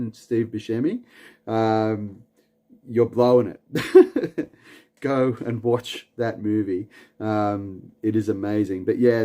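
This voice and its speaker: Australian man, stream host, slow laid-back rambling delivery, lowish voice, lots of fillers. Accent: Australian, from Newcastle. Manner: relaxed and rambling, with many "um"s and repeated words; chuckles often between lines. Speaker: livestream host. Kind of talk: late-night livestream chat, reading comments aloud. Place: at home, late at night.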